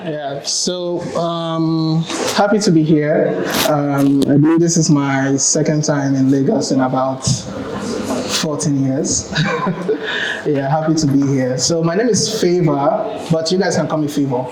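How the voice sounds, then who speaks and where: A young Ghanaian man talks to a conference audience in a slightly high voice, chatty and relaxed, with plenty of ums and restarts. The sound is roomy, as in a large hall. An audience is clearly heard behind him. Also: unhurried, crowd noise. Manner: relaxed, chatty, many ums and self-corrections, laughs at own jokes. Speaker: conference speaker. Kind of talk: tech conference talk.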